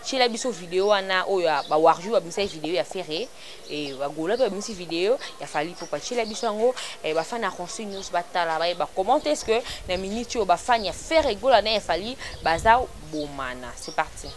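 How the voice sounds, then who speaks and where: A woman's voice: lowish voice, animated, a little fast. A woman from Congo, hosting an online celebrity news show in a chatty, casual commentary style. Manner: chatty, mixing French and Lingala freely.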